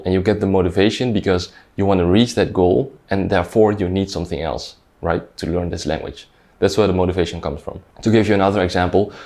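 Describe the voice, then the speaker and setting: A man with a notably low, deep voice speaks in English, which is not his first language; he grew up speaking Dutch. He explains ideas in a thoughtful, conversational manner, often pausing on "like" and restarting his sentences. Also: darkish tone. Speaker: language learning creator. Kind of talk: reflective talking-head explainer.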